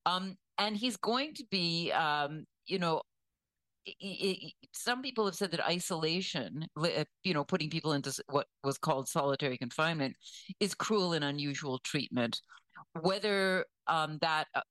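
A woman with a low-pitched voice offers conversational news commentary. She is deliberate and careful, qualifying her opinions as she goes. The sound is dry and close. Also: fairly bright tone, no echo.

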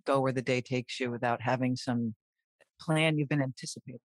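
Animated woman in her forties, dry sound. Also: very deep voice, brisk, no echo. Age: 40s. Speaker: friend and co-worker. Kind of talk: casual chat.

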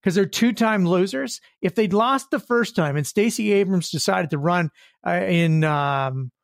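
A man co-hosting a political panel chat, blunt and emphatic, repeating his verdicts flatly. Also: sits high, a little slow, vocal fry, no echo, studio quality. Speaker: co-host.

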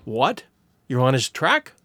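Man acting a surly innkeeper, suspicious, gruff, rustic, animated intonation, dry close storybook recording. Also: medium pitch, slow pace, dry recording, pitched below the performer's own voice, full character voice. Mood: startled. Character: morose, heavy, suspicious male country innkeeper.

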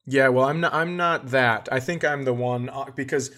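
A middle-aged man with a mid-pitched voice talks as a comedy podcast host. He tells stories in a casual, conversational way, swearing freely and acting out his own outbursts. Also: normal speed. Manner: profane, animated when acting out his outbursts.

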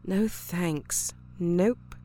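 A woman's voice: lowish voice, drawn-out words, mellow, slight background noise. A woman playing a wary, sardonic policewoman. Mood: unsettled. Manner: dry, weary, uneasy. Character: wary, sardonic female police officer on night call. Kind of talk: audiobook character dialogue.

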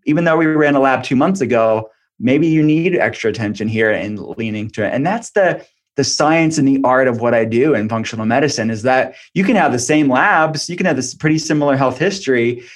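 A man's voice: mid-range voice, a little fast, few pauses. A man speaking as an expert podcast guest, explaining health matters in an informal, conversational way peppered with "like". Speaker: functional medicine doctor, podcast guest.

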